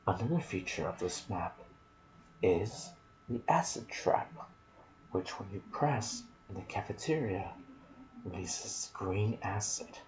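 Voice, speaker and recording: Slow, hushed, low-voiced man doing gaming ASMR. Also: expressive, poor audio. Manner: soft-spoken, hushed, lapsing into whispers. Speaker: video host and gaming content creator. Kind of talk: ASMR video game lore commentary.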